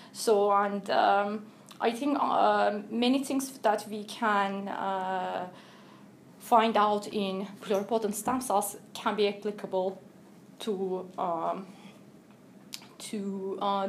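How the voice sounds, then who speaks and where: Woman, interview guest, careful, explanatory, thoughtful pauses. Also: mid-range voice, slight background noise. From Turkey. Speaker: guest researcher. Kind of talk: interview answers.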